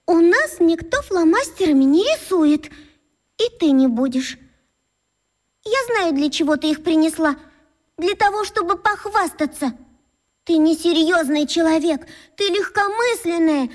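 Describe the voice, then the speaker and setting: A strict fifth-grade class monitor in a children's radio drama. The voice is high and girlish, and the manner is earnest, bossy and reproving. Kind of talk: children's radio play acting.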